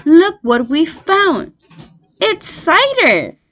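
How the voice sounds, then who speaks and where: A woman voicing an eager, curious young fox boy in a children's story, excitable and questioning. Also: very high-pitched, drawn-out words, voice pushed up, heavily acted. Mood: excited.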